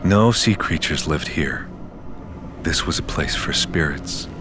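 An actor playing a grave storyteller, giving measured, scripted narration of a mythic audio drama. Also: male voice, a bass voice, animated, heavily acted. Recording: music behind the narration.

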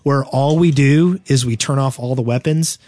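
A man's voice: mid-range voice, moderate pace, mellow. A man co-hosting a gaming podcast, talking casually and enthusiastically. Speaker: podcast co-host.

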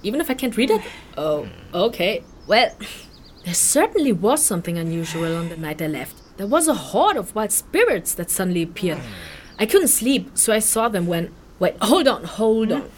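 An actress playing a chatty, candid human refugee in a fantasy audio drama, informal and expressive as she recalls things. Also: medium pitch, normal speed, slight background noise, close to an everyday voice. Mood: rattled, recollecting. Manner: informal, slangy, expressive, hesitant recollection.

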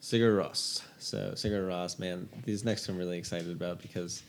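A man's voice: low-pitched, steady tone, moderate pace, gravelly. A young man hosting a podcast from home, chatting hesitantly about music with lots of fillers. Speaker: podcast host. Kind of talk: casual music chat.

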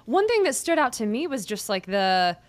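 A woman's voice: fairly high pitch, sing-song, moderate pace. A young woman, a sports reporter hosting a podcast, asking questions in a casual, filler-heavy way. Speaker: beat reporter, podcast host. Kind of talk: casual interview chat.

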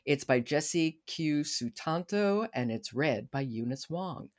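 A woman's voice: low-pitched, expressive, takes it slowly. A woman hosting a podcast in a conversational interview style. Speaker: podcast host.

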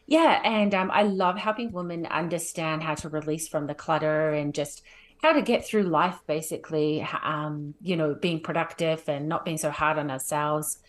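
A woman's voice: low voice, moderate pace. A middle-aged woman from New Zealand with a New Zealand accent, chatting informally as an interview guest and often checking in with "right?". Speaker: podcast guest, home organizer. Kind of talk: casual conversational interview.

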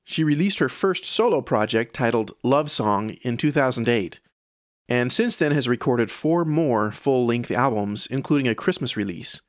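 A man's voice: mid-range voice, lively intonation, normal speed, dry recording, studio quality. A man hosting an audio newsletter, reading scripted music news in a presenter's style. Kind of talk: scripted narration. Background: background music.

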